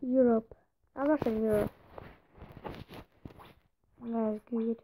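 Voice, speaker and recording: A woman's voice: a little high, steady tone, takes it slowly, warm tone. A young woman chatting on a casual live stream, distracted and muttering to herself as she reads the chat. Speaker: livestreamer. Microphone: phone or device mic. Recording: dull lo-fi phone stream with faint noise.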